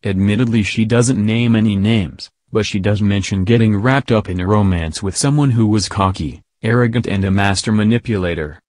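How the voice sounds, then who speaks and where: A man narrating a scripted celebrity gossip piece in a steady, even read. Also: lowish voice, normal speed, few pauses, no room sound, studio quality.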